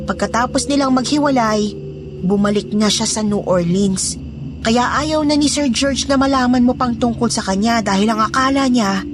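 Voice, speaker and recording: A woman's voice: fairly high pitch, natural voice. A woman playing a fearful, loyal housemaid in a Tagalog horror audio drama, confiding and deferential as she tells a suspenseful story. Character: fearful, loyal housemaid revealing dark secrets.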